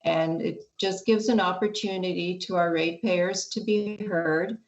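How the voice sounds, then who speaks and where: Low, flat-voiced woman trustee. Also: measured pace. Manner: hesitant, with many fillers. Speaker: school board trustee. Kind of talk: formal board meeting debate. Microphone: video call. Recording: lo-fi video call audio.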